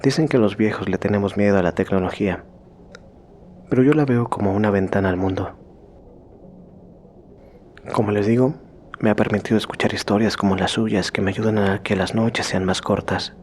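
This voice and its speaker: A man reading out a horror story in slow, hushed scripted narration that sometimes sinks to a whisper. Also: fairly low pitch, fairly even intonation, a little hiss or hum.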